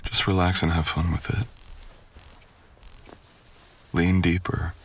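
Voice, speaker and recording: Man voicing a very deep, gravelly seductive stalker. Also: flat delivery, fairly bright tone, a little hiss or hum, full character voice. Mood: sultry, commanding. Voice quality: gravelly, breathy.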